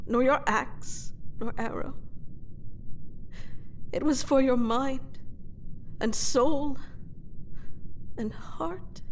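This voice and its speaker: Slow, anguished captive queen, acted by a woman. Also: fairly high pitch, a little hiss or hum. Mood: wounded, bitter. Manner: formal, poetic, halting delivery with breathy whispered trailing off. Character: captured royal woman, proud, anguished, lovelorn, confessing under truth potion. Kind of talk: dramatic fantasy audio roleplay monologue.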